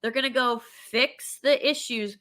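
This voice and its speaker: A woman's voice: a little high, measured pace. A woman hosting a commentary show, talking opinionatedly and stuffy with a cold, dropping into mocking impressions now and then. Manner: animated, mocking impressions in asides. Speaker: show host.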